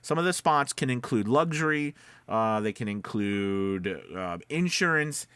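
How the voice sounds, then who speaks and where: Male vlog host and coach, average pitch, informal and explanatory, recorded dry. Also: animated, unhurried, a bit bright, clear, resonant tone, dry recording. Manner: conversational, explanatory, often checking in with "okay" and "you know". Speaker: voice-over coach and video host. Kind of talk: casual instructional vlog talk.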